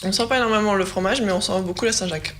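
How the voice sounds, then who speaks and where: A young woman co-hosting a food segment, chatting casually, often agreeing with her partner in a soft voice. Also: mid-range voice, racing, slight background noise. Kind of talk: casual tasting chat on a show set.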